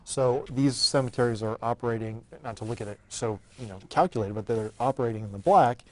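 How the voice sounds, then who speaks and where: A man who is a state senator, talking quickly and forcefully about a bill he is pushing on his own talk show. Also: medium pitch, poor audio. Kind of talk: public affairs talk show.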